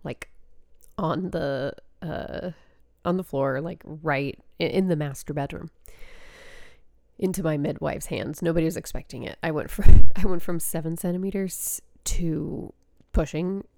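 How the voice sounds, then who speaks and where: Slow, gravelly-voiced woman with a stuffy nose. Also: low-pitched, dark tone, dry recording, clean studio sound. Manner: rambling, with frequent fillers and laughs. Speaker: podcast host and spiritual coach. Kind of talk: casual storytelling monologue.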